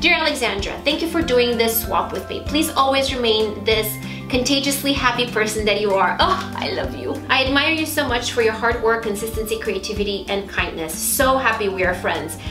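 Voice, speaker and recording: A bubbly woman gushes over beauty products in a home vlog. Her voice sits fairly high and carries a roomy echo. A music bed plays underneath. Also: fairly quick. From Romania. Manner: bubbly and gushing, with squeals. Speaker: beauty vlogger. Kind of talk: unboxing haul vlog. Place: small bright indoor home studio.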